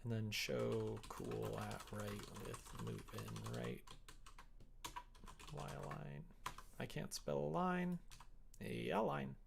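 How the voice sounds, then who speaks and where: Low creaky guy on a stream, chatting casually and mumbling to himself while he works. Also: huge pitch swings, slow, long pauses, a little hiss or hum. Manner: informal, muttering to himself while working. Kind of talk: casual livestream chat while coding a visual novel.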